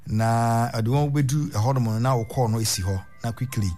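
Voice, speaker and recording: A man with a Ghanaian accent presenting a radio talk show, slowly reading out phone numbers and switching between languages. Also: medium pitch, dark tone, soft background music. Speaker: radio host.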